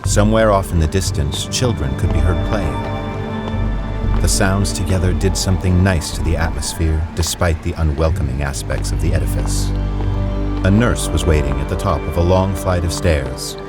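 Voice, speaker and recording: A man's voice: deep voice, moderate pace. A man reading scripted story narration as the narrator of an audio drama. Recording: music behind the voice.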